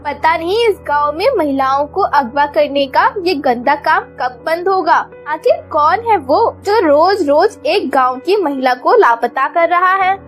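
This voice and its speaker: A woman's voice: high-pitched, animated, unhurried, few pauses, faint music behind. A woman voicing an anxious village woman in a melodramatic cartoon story. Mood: upset, complaining. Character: anxious, frightened village women. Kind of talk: Hindi cartoon story acting.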